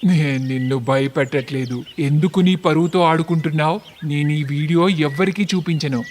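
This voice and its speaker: Guy doing a creepy young village schemer, leering and sly, highish male voice, cartoon story. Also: normal speed, a little mellow, slight background noise, close to an everyday voice. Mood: sly, menacing. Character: sleazy, scheming young village man, lecherous blackmailer. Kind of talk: cartoon moral story voice acting.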